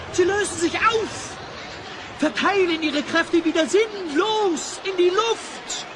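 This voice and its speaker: A man's voice: extremely high pitch, background noise. An actor playing a megalomaniac sorcerer in an audio drama, pompous and theatrical, drawing out his cries. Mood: raging despair. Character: raving megalomaniac sorcerer, male master of time. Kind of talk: German audio drama acting. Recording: dramatic music behind the voice.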